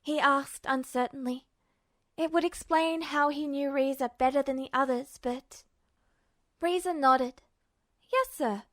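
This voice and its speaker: Woman narrator, high voice, dry close recording, emotive measured audiobook reading. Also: steady tone, dry recording. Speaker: podfic reader. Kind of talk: audiobook narration of fan fiction.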